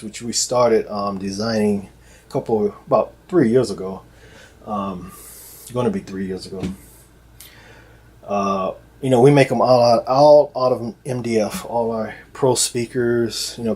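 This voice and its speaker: A man speaking casually and off the cuff as a loudspeaker maker presenting products, in a hesitant, repetitive, halting way. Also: on the low side. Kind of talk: casual unscripted product explainer. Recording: noticeable background noise, amateur video sound.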